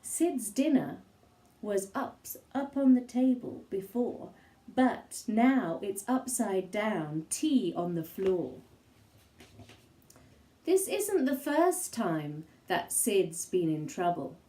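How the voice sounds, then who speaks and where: A woman reading a rhyming children's picture book aloud, slowly and theatrically, in an expressive storytelling style. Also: medium pitch, roomy sound. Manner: theatrical, slow and deliberate, with gasps and asides. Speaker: storybook reader.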